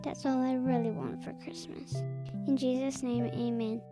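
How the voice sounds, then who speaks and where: A woman's voice: high voice, little pitch movement, moderate pace, loud music behind the voice, natural voice. A female performer playing an earnest, insistent little girl in an audio drama, matter-of-fact and a bit bossy. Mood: earnest prayer.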